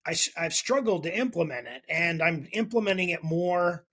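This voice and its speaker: A man's voice: fairly high pitch, expressive, normal speed, fairly bright tone, slightly rough. A middle-aged man co-hosting a podcast, telling stories in a reflective, easygoing way. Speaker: podcast co-host. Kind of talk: casual advice chat.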